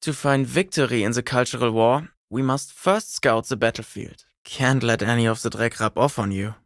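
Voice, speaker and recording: A male actor plays an earnest young man, a self-serious communist intellectual in a video game. He speaks with bookish precision and a pedantic streak, in a mid-pitched voice with noticeable fry. The sound is dry, with no room around it. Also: moderate pace, no echo, close to an everyday voice. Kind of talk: video game character voice lines.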